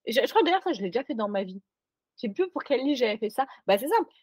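A woman's voice: a little high, sing-song, fast talker, darkish tone, slightly rough, no echo, studio quality. A woman co-hosting a casual book podcast, recorded remotely, talking in an emphatic, joking, slangy way. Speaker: podcast co-host. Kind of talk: casual chat.

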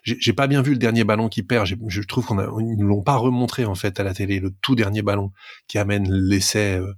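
A man's voice: lowish voice, fairly even intonation, a little fast, no echo, studio quality. A man co-hosting a sports podcast, talkative and emphatic as he gives his opinions in casual discussion. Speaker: rugby podcast co-host.

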